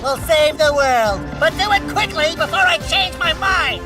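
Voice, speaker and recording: An actor voicing a small, cocky, sarcastic furball sidekick in a video game, always ready to complain. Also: male voice, very high-pitched, animated, moderate pace, music in the background. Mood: torn, grudging. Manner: sarcastic, brash. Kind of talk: animated video game voice acting.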